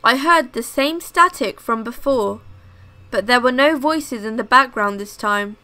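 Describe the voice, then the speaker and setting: A woman reading first-person scary stories aloud as scripted narration, in a measured storytelling manner. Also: fairly high pitch, lively intonation, sharp tone.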